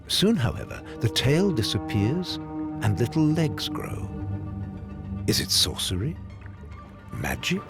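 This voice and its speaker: A man narrating a scripted nature and folklore documentary, telling it in a measured, dramatic storyteller's way. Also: mid-range voice, wildly swooping pitch. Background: clear background music.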